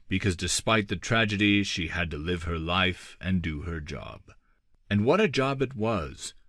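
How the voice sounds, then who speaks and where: Male audiobook narrator, low register, expressive and varied intonation, measured dramatic pacing for gothic fantasy, dry studio-like sound. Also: no echo.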